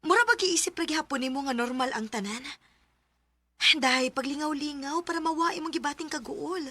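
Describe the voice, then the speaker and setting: An actress with a Cebuano Filipino accent playing a chatty, encouraging friend and fellow teacher in a radio drama. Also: female voice, high-pitched, fairly quick, bright, forceful tone, no echo. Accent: Filipino, Cebuano-speaking. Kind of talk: Philippine radio drama acting.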